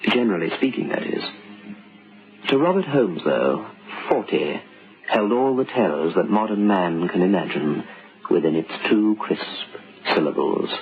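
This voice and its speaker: An actor as a sardonic, ominous storyteller, narrating an old-time radio drama with measured, dramatic pauses. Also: male voice, deep voice, expressive. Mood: dry, ominous. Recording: muffled, faintly noisy old radio recording.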